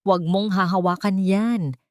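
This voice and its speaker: A woman's voice: mid-range voice, steady tone, unhurried, a little mellow, dry recording, studio quality, close to an everyday voice. A woman with a Filipino accent voicing a harsh, domineering older mother in an audiobook, scornful and scolding. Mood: scolding, outraged.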